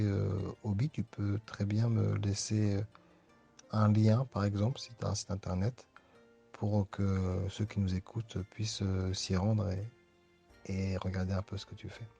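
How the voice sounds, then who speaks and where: Male host, casual and friendly, dark husky voice, lo-fi audio with faint music. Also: fairly low pitch, level tone, unhurried. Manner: friendly, thanks and prompts the guest. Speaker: interviewer, host. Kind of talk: casual interview chat. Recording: dull lo-fi sound with faint music.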